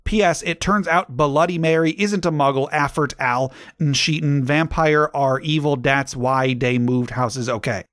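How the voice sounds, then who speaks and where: A man's voice: a little high, fairly even intonation, a little slow, hardly any pauses, a bit bright, no room sound, clean studio sound. A man voicing an indignant teenage girl author snapping defensively at critics in a whiny way. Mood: indignant, scornful.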